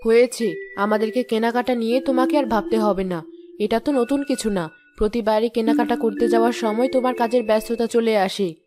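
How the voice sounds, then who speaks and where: A woman's voice: on the high side, fairly even intonation, a little fast, lightly acted. A woman playing a demanding, nagging village chairman's wife in a moral-story cartoon, with an insistent, complaining manner. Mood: annoyed, demanding.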